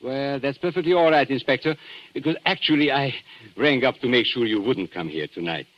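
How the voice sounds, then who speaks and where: An actor playing a smooth, mocking antique dealer with a secret crime, formally polite and menacing, in 1940s radio style. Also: male voice, mid-range voice, fairly quick, lightly acted. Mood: falsely affable. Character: suave, calculating antique dealer hiding a murder. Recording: dull 1940s radio recording, music behind.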